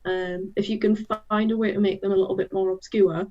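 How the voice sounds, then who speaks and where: A woman's voice: medium pitch, flat delivery, brisk. A woman co-tutoring in an online lesson, chatting casually and giving her opinions in an informal, tentative, agreeable way. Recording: dull, lo-fi online audio.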